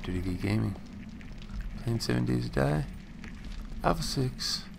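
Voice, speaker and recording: A young man with a raspy morning voice, casually rambling through gameplay commentary with slang. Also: mid-range voice, animated, a little slow, background noise. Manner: slangy, rambling, laid-back. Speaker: gaming channel host.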